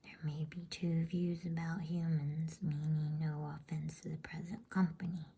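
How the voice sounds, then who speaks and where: A woman voicing an earnest, folksy male talking beaver in a breathy whisper, declaiming in measured phrases for a bedtime storybook. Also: sits low, little pitch movement, warm tone, heavily acted.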